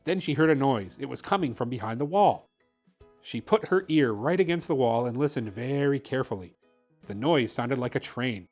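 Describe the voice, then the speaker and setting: A man's voice: medium pitch, lively intonation, normal speed, a little mellow, soft background music. A man hosting a story time, narrating a children's picture book expressively. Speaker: host reading children's picture books.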